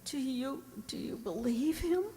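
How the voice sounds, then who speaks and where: Woman as sick young frontier woman, reverberant, higher pitched, weak, pleading, radio play. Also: unhurried, warm tone. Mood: weak, wretched. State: weak, bedridden. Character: gravely ill young frontier woman, pleading with her mother. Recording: reverberant hall-like room.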